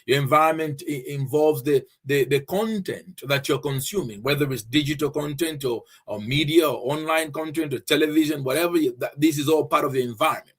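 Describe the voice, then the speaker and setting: Husky-voiced man preaching on a podcast. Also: fairly high pitch, fairly quick, hardly any pauses. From Zambia. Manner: earnest, repeats key phrases, frequent fillers and restarts. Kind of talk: faith-based motivational teaching.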